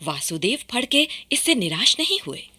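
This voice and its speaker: Female radio play narrator: dramatic scripted read, forceful tone, very animated melody. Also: a little high, moderate pace, breathy edge.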